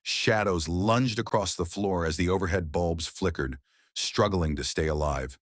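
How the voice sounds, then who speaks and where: A man reading a psychological thriller audiobook with tense, dramatic pauses. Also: sits low, dry recording, clean studio sound. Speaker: audiobook narrator. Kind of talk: scripted thriller narration.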